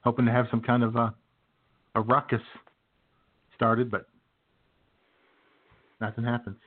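A man's voice: medium pitch, flat delivery, a little slow. A man co-hosting a comedy podcast in casual, joking banter with a laid-back, drawling manner. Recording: thin phone-like band-limited audio.

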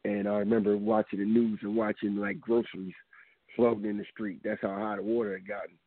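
A hoarse man hosting a radio talk show, talking casually and rambling with many ums. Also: on the low side, little pitch movement, a little fast, no echo. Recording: tinny phone line.

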